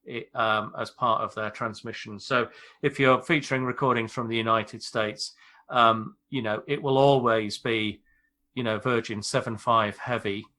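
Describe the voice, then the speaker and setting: A British man, an airline pilot, explaining things in a measured, informal way on a podcast. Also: mid-range voice, fairly even intonation, pushed and bright. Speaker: airline pilot, expert guest.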